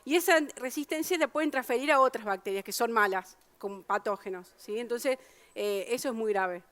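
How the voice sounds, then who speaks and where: A woman with an Argentine Spanish accent gives a public science talk. Her voice is smooth and resonant and sits fairly high, and the sound is dry and close. She explains patiently, full of fillers and self-corrections. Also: brisk, a bit bright, no room sound. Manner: explanatory, many fillers and restarts.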